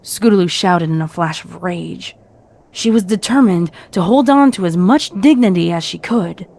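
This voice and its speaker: Low-pitched woman narrating a story. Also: normal speed, vocal fry, darkish tone.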